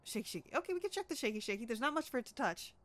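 A woman's voice: fairly high pitch, sing-song, racing. Stuffy young woman, playful gamer. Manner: playful, joking. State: stuffy, slightly sick. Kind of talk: casual co-op gaming chat.

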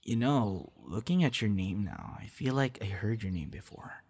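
A man playing a friendly, shy young budding voice actor chatting casually with a stranger in an ASMR roleplay, hesitant and softly teasing. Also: on the low side, very animated, moderate pace, gravelly, slightly rough, full character voice. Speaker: audio roleplay performer. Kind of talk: ASMR roleplay, casual one-sided conversation.

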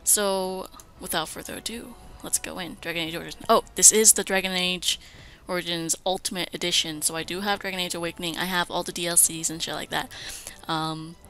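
Female let's play host, bright and chatty, enthusiastic and informal, faint music underneath. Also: lowish voice, fairly even intonation, fairly quick. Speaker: gaming host. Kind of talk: casual let's play commentary.

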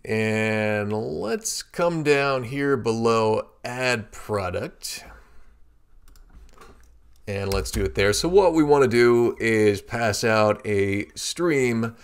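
A man teaching a coding tutorial, explaining each step in a steady walkthrough while he works at his computer. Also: medium pitch, very slow, smooth. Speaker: programming tutorial instructor. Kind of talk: screen-recorded coding walkthrough.

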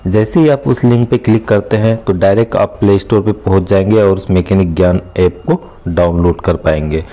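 A man's voice: low-pitched, steady tone, brisk, dark tone. A man hosting a step-by-step machine repair tutorial from an excavator cab, talking the viewer through each step in a friendly instructional way. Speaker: mechanic and channel host. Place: excavator cab, outdoors work site.

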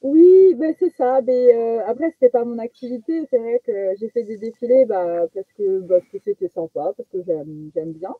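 Young woman, husky, animated casual anecdotes, self-mocking, dry close headset, narrow phone-like video call. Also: a little high, normal speed, few pauses, dry recording. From French Guiana. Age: young adult. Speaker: guest stand-up comedian. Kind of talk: casual chat, telling personal anecdotes. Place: small attic bedroom.

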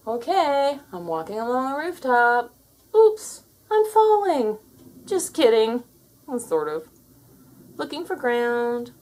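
Woman playing a sassy storybook cat, boastful, theatrical, slow delivery, animated intonation. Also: fairly high pitch, full character voice. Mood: smug, boastful. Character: smug, sassy alpha cat lecturing younger cats. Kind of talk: children's storybook read-aloud.

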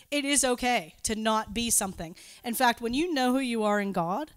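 A woman's voice: a little high, expressive, measured pace, no room sound. A woman giving an informal sermon with personal anecdotes, talking in a conversational, self-deprecating and giggly way. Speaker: preacher speaking at a church gathering.

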